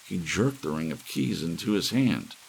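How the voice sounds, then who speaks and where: A man with a very low, gravelly voice reads a sci-fi story as an ASMR audiobook. Soft rain and faint hiss run behind the narration. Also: lively intonation, moderate pace, low-quality recording.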